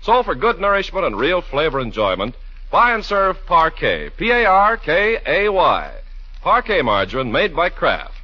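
A man's voice: a little high, big pitch swings, normal speed. A man announcing in scripted 1940s radio style, reading narration and advertising. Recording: muffled vintage 1940s radio recording.

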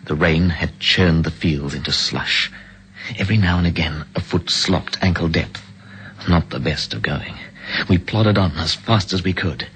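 A deep-voiced resolute hero. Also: male voice, a little slow, fairly bright tone, slight background noise, poor audio. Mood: narrating. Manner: clipped, urgent, commanding. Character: resolute male hero and first-person narrator. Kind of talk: 1950s radio drama acting.

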